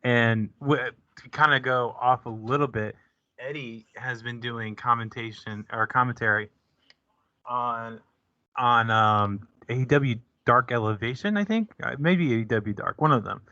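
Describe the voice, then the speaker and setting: A man's voice: mid-range voice, unhurried, pushed and bright, no echo. A man hosting a podcast in casual chat, easygoing and agreeable. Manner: easygoing, agreeable, short backchannels. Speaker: podcast host. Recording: dull lo-fi podcast audio, dry.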